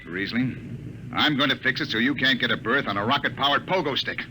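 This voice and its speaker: An actor playing a stern, short-tempered spaceship captain, gruff and commanding, in 1950s radio style. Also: male voice, medium pitch, fairly quick, pushed and bright. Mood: angry, threatening. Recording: noisy old 1950 radio broadcast.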